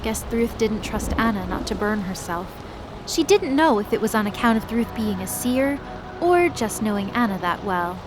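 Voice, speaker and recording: A woman giving expressive, scripted dramatic narration as the storyteller of a fantasy audio drama. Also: mid-range voice, moderate pace, background noise.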